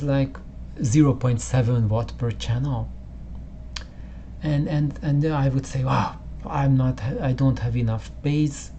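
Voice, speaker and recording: A man hosting a home audio channel, explaining casually in a halting way with many restarts, in a room with poor acoustics. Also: mid-range voice, warm tone, a little hiss or hum. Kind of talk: casual explanatory talk to camera.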